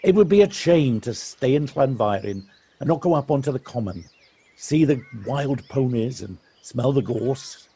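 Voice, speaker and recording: A man playing a kindly, teasing village local in an audio drama, with a husky voice and an encouraging manner. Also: medium pitch, animated, measured pace, dark tone. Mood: friendly, encouraging. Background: birdsong.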